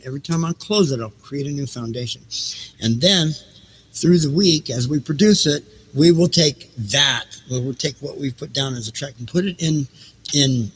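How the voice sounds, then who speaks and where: A man's voice: mid-range voice, slightly rough, low-quality recording. A man hosting a livestream in casual, improvised chat, rambling as he thinks aloud and repeats his phrases. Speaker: host of a livestreamed reading and music show.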